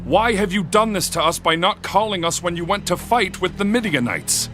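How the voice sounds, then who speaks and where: High-pitched indignant tribesman. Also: expressive, normal speed. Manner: sharp, reproachful. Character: indignant, aggrieved male tribesman of ancient Israel. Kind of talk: dramatized scripture acting. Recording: loud background music.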